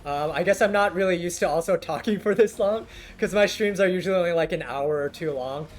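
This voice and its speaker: A man's voice: high-pitched, faint music behind. Scratchy-voiced young man chatting on stream. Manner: rambling, halting, many fillers and restarts. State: scratchy, worn-down voice. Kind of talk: casual live-stream chat with viewers.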